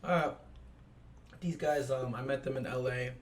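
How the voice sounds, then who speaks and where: A man hosting a podcast in a loose, joking chat, losing his voice a little and clearing his throat now and then. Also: mid-range voice, fairly even intonation, measured pace, slight background noise. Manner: loose, joking, swears freely. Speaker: podcast host. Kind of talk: casual chat.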